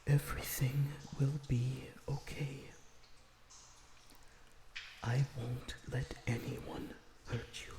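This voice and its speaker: Casting: male actor as a tender vampire prisoner for audio roleplay, pleading softly and slowly, roomy echo. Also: medium pitch, flat delivery, background noise, natural voice. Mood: concerned, gentle. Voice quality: breathy, often dropping to a whisper. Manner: soothing, pleading, slightly formal phrasing. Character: lonesome, gentle, earnest male vampire prisoner.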